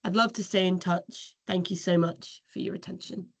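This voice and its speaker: A woman giving an earnest, measured research presentation over a video call webinar. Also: on the low side, steady tone.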